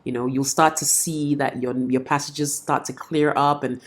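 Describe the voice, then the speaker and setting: A woman with an unusually deep, low voice explains practical tips as a vocal coach. She has a cold, yet keeps her delivery friendly and clear. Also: fairly even intonation, normal speed. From Ghana. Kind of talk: instructional talk to camera.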